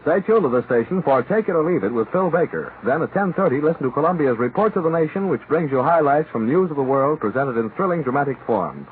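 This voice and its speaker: Vintage radio announcer, male, slightly high voice, scripted, narrow band with faint hiss. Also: lively intonation, quick pace, few pauses. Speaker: station announcer. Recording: tinny, faintly hissing 1940s radio broadcast.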